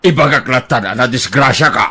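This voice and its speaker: A man's voice: mid-range voice, fairly quick, sharp tone, breathy edge. An actor playing a teasing older husband who gambles, bantering with his wife in an audio drama.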